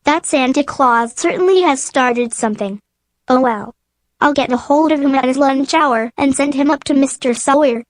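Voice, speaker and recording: A woman's voice: high voice, normal speed, close to an everyday voice. A woman playing an anxious, businesslike department store executive in a radio drama. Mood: flustered. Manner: earnest, worried.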